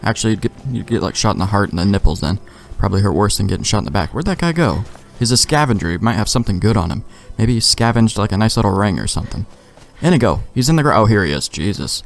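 A man's voice: on the low side, big pitch swings, quick pace, vocal fry. A man giving casual, wry let's play commentary, joking and swearing.

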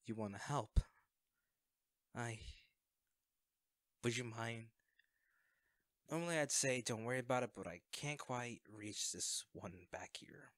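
A man plays a secret superhero in a one-sided audio drama, awkward and self-effacing. His mid-pitched voice stammers and hedges as he covers up for himself with a close friend. The sound is close and very dry. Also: a little slow, pauses often, fairly bright tone, dry recording, lightly acted. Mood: sheepish, weary. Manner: hesitant, stammering, evasive.

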